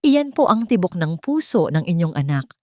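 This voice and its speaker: A woman voicing an elderly male doctor in a romance story, polite and halting. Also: lowish voice, big pitch swings, darkish tone, no echo, studio quality. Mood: polite, pleased. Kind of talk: audio romance story dialogue.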